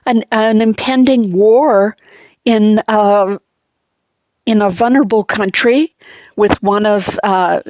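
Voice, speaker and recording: A middle-aged woman with an Anglophone Montreal accent, giving a halting personal monologue full of fillers and restarts. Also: medium pitch, steady tone, darkish tone, no room sound, dull audio. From Montreal, Canada. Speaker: vlogger.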